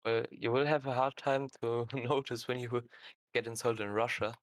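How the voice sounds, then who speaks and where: A man's voice: mid-range voice, steady tone, moderate pace, no echo. Sarcastic man, dry mic. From Germany. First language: German. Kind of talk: casual group chat.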